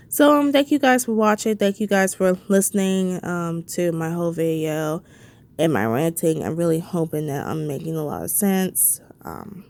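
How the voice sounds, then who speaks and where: A woman's voice: fairly low pitch, darkish tone. A young woman vlogger with a cold, sounding stuffy, ranting heatedly in casual commentary and firing off repeated questions. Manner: heated, repetitive, rhetorical questions.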